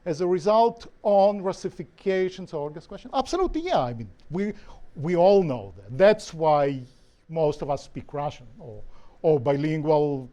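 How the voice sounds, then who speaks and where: Male panel guest from Ukraine, mellow tone, pitched slightly high, thoughtful and self-correcting. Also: very animated, normal speed. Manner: thoughtful, restarts often, many "you know" fillers. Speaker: author and historian, guest speaker. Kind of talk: panel discussion answer. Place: lecture hall.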